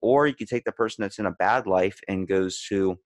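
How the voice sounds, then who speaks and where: A man's voice: lowish voice, fairly quick, no echo, clean studio sound. A man in a casual podcast chat, rambling as host and animatedly telling stories, acting out the lines he quotes.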